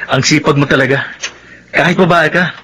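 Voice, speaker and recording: A man's voice: a little high, steady tone, normal speed, bright, forceful tone, slight background noise, low-quality recording. A man playing a supportive young village man in a radio drama, easygoing and reassuring. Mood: friendly. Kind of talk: Filipino radio drama acting.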